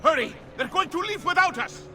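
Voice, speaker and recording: A man's voice: very high-pitched, lively intonation, a little fast. An actor playing an agitated male villain in a video game cutscene. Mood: panicked, urgent. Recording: music behind.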